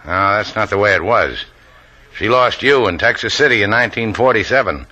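A hard-boiled adventure hero from golden-age radio. His low voice moves widely in pitch with a bright, forceful edge. He is clipped, sardonic and tough. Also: lively intonation, fairly quick, rough recording. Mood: cool, needling. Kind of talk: 1940s-50s radio adventure acting.

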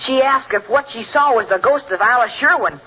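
American boy of thirteen, high voice, naive, worried, questioning, vintage radio comedy, thin tinny sound. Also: a little fast, breathy edge. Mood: earnest, eager. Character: earnest, worried thirteen-year-old American son. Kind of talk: 1940s radio comedy acting. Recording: tinny, faintly noisy 1940s radio recording.

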